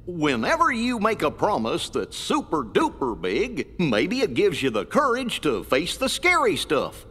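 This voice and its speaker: A gangly, kindly cartoon dog with a high, husky, goofy drawl. The delivery is folksy and earnest, swinging wildly in pitch, like a game cartoon sidekick. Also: male voice, wildly swooping pitch, unhurried, sharp tone, exaggerated voice. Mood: earnest, encouraging. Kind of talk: cartoon voice acting in a video game. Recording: long reverb, music behind.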